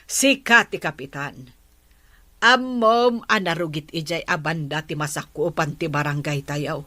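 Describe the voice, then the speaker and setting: A woman's voice: sits low, a little slow, bright, forceful tone, no room sound. An actress playing a sensible village woman who gives firm, reproving advice in a radio drama. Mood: reproving, reasoning. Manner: firm, admonishing.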